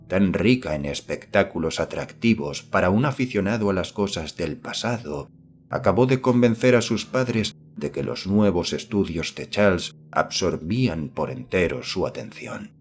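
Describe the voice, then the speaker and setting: Low-pitched man narrating an audiobook. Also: animated, normal speed. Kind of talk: scripted literary narration of a horror novel.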